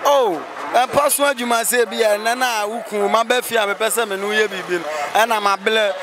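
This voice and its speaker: A man's voice: high voice, fairly even intonation, fairly quick. A man with a Ghanaian accent giving his opinions casually in a street interview. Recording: street recording with crowd and traffic.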